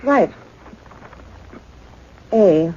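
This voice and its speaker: A woman's voice: medium pitch, expressive, drawn-out words, a little mellow, breathy edge, exaggerated voice. A woman playing a composed, dutiful scientific colleague in a radio play, attentive and formal. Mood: attentive, curious. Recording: dull, noisy lo-fi radio recording.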